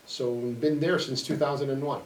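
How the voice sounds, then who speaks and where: A man's voice: medium pitch, steady tone, fairly quick, slight background noise. A middle-aged man, an insurance broker, chatting as a guest in an easygoing, hesitant way with frequent fillers. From Chicago, USA (born in Sicily). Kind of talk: casual interview conversation. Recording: roomy, distant from the mic.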